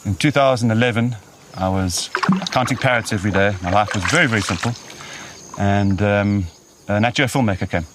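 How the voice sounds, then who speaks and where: A middle-aged South African man recounts his life in a low voice. He is reflective and pauses to weight his words, with faint ambient noise behind him. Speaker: conservationist and researcher, interviewee. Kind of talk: personal storytelling in an interview.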